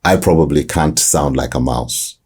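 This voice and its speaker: A man who works as a voice-over artist with a deep voice, answering interview questions in a reflective way, often restarting his sentences. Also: darkish tone, slightly rough. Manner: reflective, hesitant restarts, slow emphasis.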